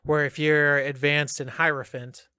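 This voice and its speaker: A man's voice: on the high side, level tone, unhurried, bright, forceful tone, clean tone, dry recording, studio quality. A man co-hosting a podcast, bouncing ideas around in a casual chat. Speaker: podcast co-host.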